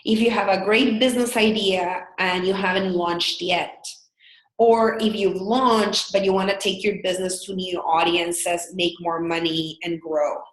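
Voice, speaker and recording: A woman's voice: mid-range voice, steady tone, a little slow, reverberant, rough recording. A woman in her thirties from El Salvador with a Salvadoran Spanish accent, presenting a business webinar in an earnest, candid way with frequent fillers. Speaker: business consultant.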